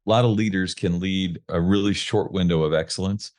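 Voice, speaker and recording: A man's voice: low-pitched, fairly even intonation, a little fast, a little mellow. A male podcast host asking questions and affirming his guest's points. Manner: affirming, short responses.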